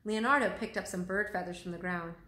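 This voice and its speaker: A woman reading a children's story aloud in an animated, expressive way. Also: fairly low pitch, fast. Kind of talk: expressive storybook read-aloud with character voices. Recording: roomy echo.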